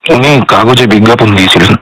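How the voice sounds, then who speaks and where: A man's voice: fairly low pitch, quick pace, bright, forceful tone, dry recording, rough recording. An actor playing a guarded, polite male secretary in a radio drama, answering carefully and defensively.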